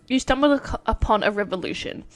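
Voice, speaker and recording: A woman's voice: on the high side, a little fast. A young woman co-hosting a book podcast, giggling and animatedly retelling scenes in casual chat. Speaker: podcast co-host.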